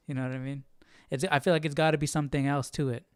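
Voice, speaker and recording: A man's voice: on the high side, little pitch movement, fairly quick, clear, resonant tone, no echo, clean studio sound. A man hosting a Bible study stream, talking casually and reasoning through questions in a rambling, searching way. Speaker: Bible study livestream host. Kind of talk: casual thinking aloud, conversational.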